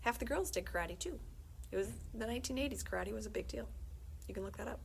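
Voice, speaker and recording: Expressive woman reading a kids' story, slightly crackly voice. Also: medium pitch, quick pace, gravelly. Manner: conversational, expressive, dropping into hushed confiding tones. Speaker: librarian reading aloud to children. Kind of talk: animated read-aloud of a children's book with brief asides.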